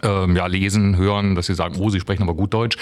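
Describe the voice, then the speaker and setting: A man's voice: low-pitched, steady tone, fast. A man from Germany, a guest in a radio studio interview, speaking hesitantly with many ums and a wry tone. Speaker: guest, writer and musician.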